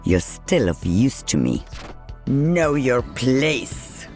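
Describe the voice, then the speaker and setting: A woman's voice: very deep voice, sing-song, drawn-out words, darkish tone, exaggerated voice. A woman playing a cold, commanding older sniper villain in a video game, menacing and imperious. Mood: cold menace. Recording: music behind the voice.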